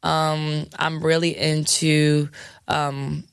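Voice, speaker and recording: A woman DJ with a scratchy, hoarse voice, chatting frankly in an interview with lots of fillers and laughs. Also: low voice, level tone, very slow, no room sound, studio quality. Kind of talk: candid casual interview talk.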